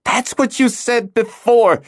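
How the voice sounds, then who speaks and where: A man reading an audiobook, voicing a distraught young girl who pleads and shouts in a high, slow, shrill voice. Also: dry recording, clean studio sound. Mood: exasperated.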